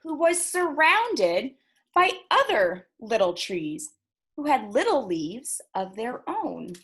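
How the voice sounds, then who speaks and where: A woman with a fairly high voice leads a children's storytime as a librarian. She reads picture books at an unhurried pace, with expression. She is encouraging and often speaks to her young listeners directly. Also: sing-song, fairly bright tone.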